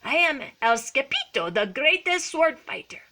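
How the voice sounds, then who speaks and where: A woman voicing an excitable, boastful boy kitten in a theatrical mock Spanish accent for a storybook. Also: high-pitched, normal speed, voice pushed up, exaggerated voice. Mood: bold. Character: excitable small boy kitten who fancies himself a sword-fighting Chihuahua.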